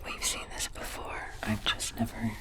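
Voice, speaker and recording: A woman playing a calm, methodical alien medical technician in a hushed, deliberate ASMR roleplay, reassuring the listener. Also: lightly acted. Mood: grave, reassuring. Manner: slow, deliberate, hushed delivery.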